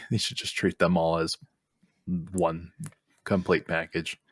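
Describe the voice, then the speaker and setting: A man's voice: low-pitched, level tone, slow pace, fairly bright tone, slightly rough, dry recording, studio quality. A man explaining things in casual conversation as a podcast co-host.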